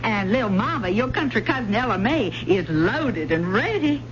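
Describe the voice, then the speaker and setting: A muffled, noisy old radio play carries an actress as a spirited Southern country woman who fiddles for a living. Her voice sits fairly high and swings widely, with a folksy drawl, proud and quick with a retort. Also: lively intonation, natural voice. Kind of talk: old-time radio drama acting.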